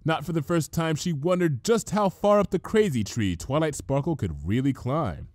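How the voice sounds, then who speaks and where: A man reading a comic story aloud as narrator, in an expressive, measured storytelling manner. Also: fairly high pitch, no room sound, studio quality. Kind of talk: dramatic scripted fan fiction reading.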